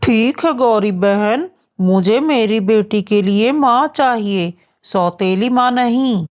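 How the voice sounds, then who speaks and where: A woman's voice: fairly high pitch, slow pace, a little mellow, muffled recording. A woman voicing a tender, worried widowed father bird in a children's cartoon story, earnest and pleading.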